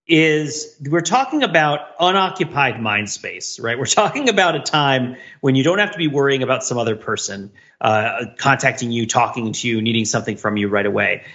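A man's voice: medium pitch, lively intonation, normal speed, pushed and bright, lo-fi sound. A middle-aged man co-hosting a casual podcast, explaining things at length in an analytical, rambling, mock-academic way. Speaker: podcast co-host.

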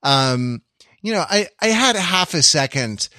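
A man chatting casually as a podcast panelist, thinking aloud in a halting way and riffing playfully. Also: a little high, animated, sharp tone, dry recording, clean studio sound. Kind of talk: casual chat.

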